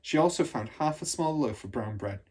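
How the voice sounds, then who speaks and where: Male storybook narrator, scripted read, medium pitch, somewhat raspy tone. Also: lively intonation, moderate pace, a little mellow. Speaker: storybook reader.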